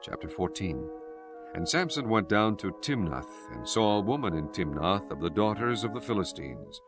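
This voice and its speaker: A man with a deep voice narrates scripture in a solemn, formal manner. Music plays clearly behind the scripted reading. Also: big pitch swings, moderate pace. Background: prominent music.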